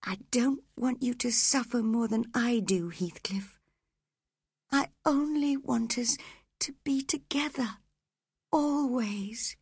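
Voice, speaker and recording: A woman voicing a dying young woman, breathy. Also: on the high side, slow, a little mellow, no room sound, studio quality, voice pushed up, heavily acted. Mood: tender pleading. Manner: breathy, pleading, often whispered. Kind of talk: audiobook character reading.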